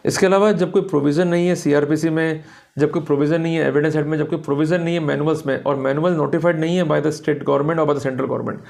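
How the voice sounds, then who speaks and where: An Indian man with a slightly high voice delivers a legal lecture. He is didactic and emphatic, speaking straight to his listeners as he explains points step by step and drops in English legal terms. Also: fairly even intonation, fast, vocal fry, darkish tone. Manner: didactic, addresses viewers directly, emphatic. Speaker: law lecturer.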